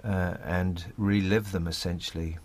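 Earnest, low-voiced New man. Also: steady tone, unhurried, a little mellow. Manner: earnest, articulate, repeats phrases for emphasis. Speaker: playwright. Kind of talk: thoughtful interview talk.

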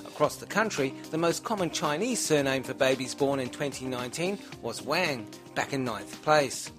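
A man's voice: medium pitch, animated, normal speed. Man reading a news report over music.

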